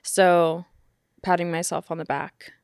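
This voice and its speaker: A woman of thirty co-hosting a podcast in casual chat, talking in an animated way, often laughing and filling with "like". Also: on the low side, a little slow, creaky, clean tone, no room sound, studio quality. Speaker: podcast co-host.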